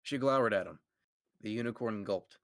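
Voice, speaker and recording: A man's voice: on the low side, normal speed, a bit bright, no echo, studio quality. A man hosting a comedic fanfic reading, narrating and laughing often. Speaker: host and narrator.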